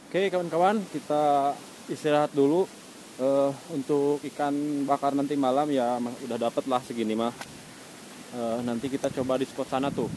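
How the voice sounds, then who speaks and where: A man's voice: mid-range voice, fairly even intonation, normal speed, slight background noise, low-quality recording. A young man chatting casually as a fishing vlogger in short colloquial exclamations, recorded outdoors on a seashore. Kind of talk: casual vlog chat. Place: open rocky seashore, outdoors. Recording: loud music over outdoor action-camera voice. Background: loud music.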